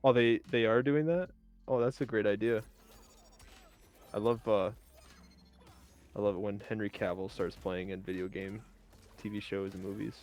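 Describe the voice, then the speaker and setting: A man's voice: on the low side, steady tone, normal speed, long pauses, darkish tone. A man chatting casually as a panelist on a livestream round table, often repeating words as he starts. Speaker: panelist in a video game discussion.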